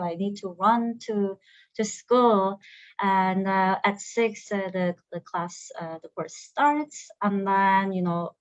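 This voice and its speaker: A woman's voice: mid-range voice, dry recording. Korean-accented woman, hesitant and muffled. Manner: hesitant, many fillers and restarts. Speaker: graduate alumna. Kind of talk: conversational panel interview.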